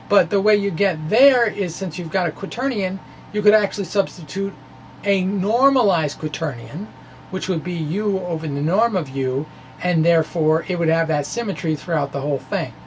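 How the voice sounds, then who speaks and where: A man giving an informal explanatory lecture in a halting, conversational way, with asides. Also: high voice, slight background noise, low-quality recording. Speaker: physics blogger presenting derivations.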